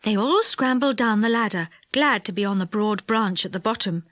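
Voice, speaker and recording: A woman narrating a children's audiobook in an expressive, measured storytelling style. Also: medium pitch, dry recording, studio quality.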